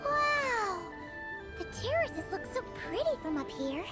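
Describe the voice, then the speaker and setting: A woman voicing a small, chatty, excitable childlike companion in a video game. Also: extremely high pitch, takes it slowly, exaggerated voice. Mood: excited, curious. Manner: exclamatory, chatty, refers to herself in third person. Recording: game dialogue with loud background music.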